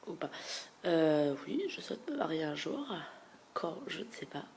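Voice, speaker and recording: A young woman with a heavy cold talks through a casual question video in a nasal, congested voice. Her tone sits low and rough with fry, and she often drops into quiet murmurs. A faint hiss runs under the recording. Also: sing-song, a little slow. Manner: chatty, frank, trailing off into soft murmurs. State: stuffy head cold. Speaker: beauty vlogger.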